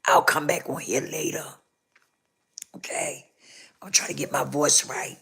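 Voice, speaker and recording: A woman hosting a casual live stream, hoarse with a rough, scratchy voice, repeating herself as she talks straight to her viewers. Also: sits low, steady tone, a little slow, a bit bright.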